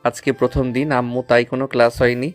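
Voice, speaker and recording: Man playing an even-toned young schoolboy. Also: mid-range voice, quick pace, soft background music, natural voice. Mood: casual. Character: affectionate, eager schoolboy, a doting older brother. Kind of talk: audio story acting.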